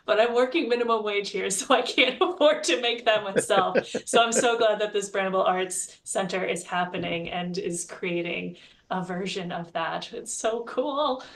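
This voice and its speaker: Female panelist, mid-range pitch, casual storyteller who voices quoted lines. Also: normal speed. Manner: acts out quoted dialogue. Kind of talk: casual anecdotal chat.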